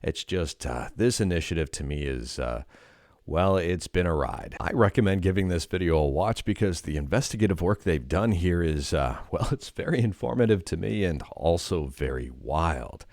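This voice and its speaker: Canadian guy doing tech news, super deep voice, dry close mic, casual and a bit hesitant. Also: expressive, moderate pace, a little mellow, no room sound. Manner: conversational, hesitant fillers when reacting.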